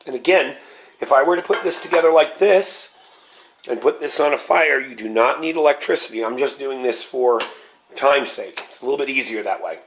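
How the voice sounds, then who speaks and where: A man's voice: mid-range voice, moderate pace. Man with a scratchy voice demoing food. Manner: relaxed, explains step by step while handling gear. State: slightly scratchy voice from a cold. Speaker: channel host. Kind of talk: casual cooking demo vlog.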